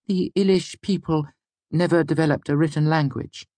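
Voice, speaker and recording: A man's voice: a little high, fairly even intonation, normal speed, darkish tone, no echo, pitched above the performer's own voice, close to an everyday voice. A man voicing a stubborn, pedantic female student in an audiobook, terse and irritable. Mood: matter-of-fact. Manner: muttering, terse. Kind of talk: audiobook character dialogue.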